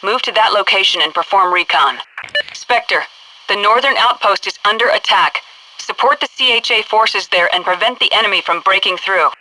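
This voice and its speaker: An actress playing a composed, commanding headquarters officer who gives crisp, businesslike mission orders over military radio in a video game. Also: female voice, medium pitch, moderate pace, sharp tone, low-quality recording. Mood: urgent, firm.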